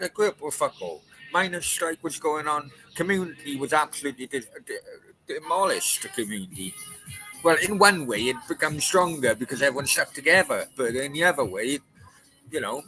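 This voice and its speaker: A man's voice: fairly high pitch, animated, normal speed, sharp tone, faint music behind, poor audio. A man in his forties hosting a late-night chat, ranting bluntly and with lots of swearing. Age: 40s. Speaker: podcast host.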